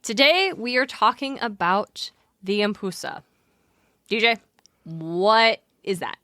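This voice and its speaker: A woman's voice: medium pitch, lively intonation, slow, sharp tone, no room sound, studio quality. A woman hosting a podcast in casual, chatty banter, with an informal, wry way of talking. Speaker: podcast host.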